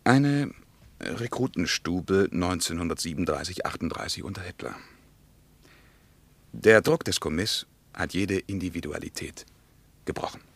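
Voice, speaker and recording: An actor playing an intense German writer in a radio drama, speaking in an emphatic, declamatory and deliberate way. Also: male voice, deep voice, lively intonation, gravelly, dry recording, full character voice. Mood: earnest. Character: intense German writer explaining his story of oppression. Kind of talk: 1950s-60s radio drama acting.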